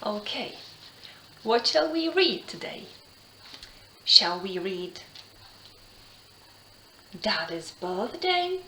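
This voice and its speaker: Very slow, animated woman storyteller. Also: medium pitch, roomy sound, slight background noise, poor audio. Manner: expressive, simple phrases with dramatic pauses. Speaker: English teacher reading aloud to children. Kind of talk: children's storytelling, gentle chat and narration.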